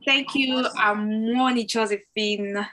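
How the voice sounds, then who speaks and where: A woman's voice: a little high, fairly even intonation, takes it slowly, bright, forceful tone, low-quality recording. A young woman, hosting a live video-call session in a chatty, welcoming way with lots of fillers. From Uganda. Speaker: host. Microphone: laptop or phone mic on a video call.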